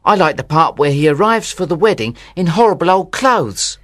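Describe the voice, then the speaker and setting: A woman voicing an easygoing, good-humoured conversation partner with a husky voice in scripted dialogue. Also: lowish voice, very animated, normal speed, dry recording, studio quality. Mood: enthusiastic.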